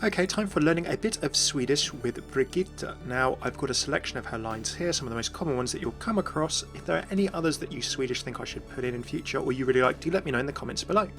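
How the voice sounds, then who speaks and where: A man's voice: medium pitch, expressive, fast, few pauses, fairly bright tone. Male video presenter, mid-range voice, explanatory fan commentary addressed to the audience, light music bed. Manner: explanatory, addresses viewers directly. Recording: voice-over with faint music behind.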